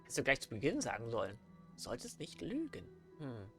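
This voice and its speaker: German guy doing a chatty lets play, gravelly fry, up and down intonation, music behind. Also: medium pitch, wildly swooping pitch, a little fast. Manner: rambling, teasing banter with a co-player. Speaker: let's play host. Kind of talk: casual gameplay commentary. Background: game music.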